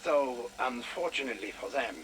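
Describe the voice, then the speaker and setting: An actor playing a sinister German doctor with menacingly polite, theatrical delivery and a German accent in a video game audio log. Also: male voice, mid-range voice, expressive, moderate pace, a bit bright, faint music behind, lightly acted. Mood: smug, deferential. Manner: theatrical, menacingly polite, savouring his words. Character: sinister German doctor and torturer, gloating Soviet operative. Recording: noisy radio-style audio log.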